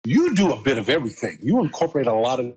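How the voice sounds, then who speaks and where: A man's voice: medium pitch, very animated, quick pace, a little mellow. A male radio host with a mid-range voice who sets up each question with context before asking it. He sounds easygoing and curious, keeping the talk moving. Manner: conversational, leading questions. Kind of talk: radio interview.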